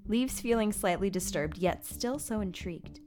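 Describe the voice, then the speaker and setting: A woman hosting a show, reading out and commenting on online bar reviews in an expressive, chatty way. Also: mid-range voice, moderate pace. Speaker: podcast host. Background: music.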